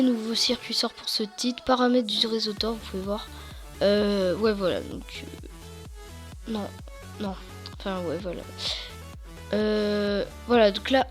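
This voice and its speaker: A teenage girl talks through a computer tutorial from her desk. Her voice is a bit hoarse and sits at a middle pitch, and she rambles, hesitates and restarts her sentences. Faint music plays behind her. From France. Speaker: tutorial YouTuber. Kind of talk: casual screen-recorded tutorial.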